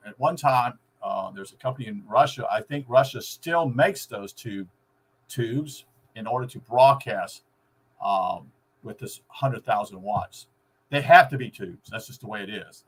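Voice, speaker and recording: A man giving an unscripted, informal explanation in a halting, rambling way, often searching for words. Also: medium pitch. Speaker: video host explaining shortwave radio. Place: at home.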